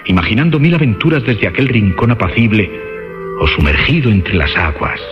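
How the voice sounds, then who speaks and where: Deep, expressive man narrating suspense. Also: moderate pace. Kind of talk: dramatic scripted suspense narration. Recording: muffled radio sound, music bed behind.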